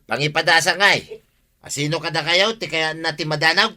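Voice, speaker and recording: A belligerent, boastful village troublemaker, acted by a man for Ilocano comedy radio. His voice is piercingly bright and slightly high, with an Ilocano accent and a drunkard's stubborn swagger. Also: steady tone, fairly quick.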